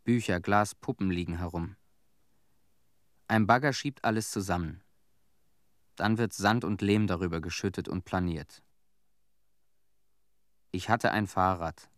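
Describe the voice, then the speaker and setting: Radio play: somber young male survivor, lowish pitch, dry sound, plain measured recollection. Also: no echo. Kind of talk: radio drama monologue.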